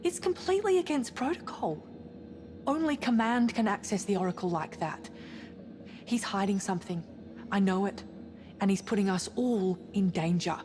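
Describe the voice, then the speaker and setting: A woman's voice: mid-range voice, animated, normal speed, darkish tone, natural voice. A woman playing a rebellious, cocky, suspicious science officer in a sci-fi game, with an insistent, cheeky delivery. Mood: urgent, suspicious. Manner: insistent, cheeky, foul-mouthed. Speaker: science officer on a spaceship crew. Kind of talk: naturalistic dramatic game cutscene acting.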